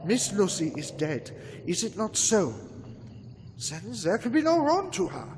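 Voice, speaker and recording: An actor playing a stern elderly doctor who hunts vampires, speaking in a grave, urgent, lecturing manner. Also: male voice, high-pitched, huge pitch swings, unhurried, big-room sound, a little hiss or hum, heavily acted. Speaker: radio drama actor. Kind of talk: old-time radio drama acting.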